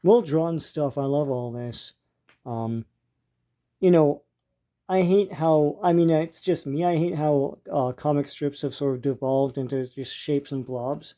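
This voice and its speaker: An older man giving casual, unscripted show-and-tell commentary as a host, in a halting, musing and informal way. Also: on the high side, fairly even intonation, warm tone. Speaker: host leafing through vintage comic strips.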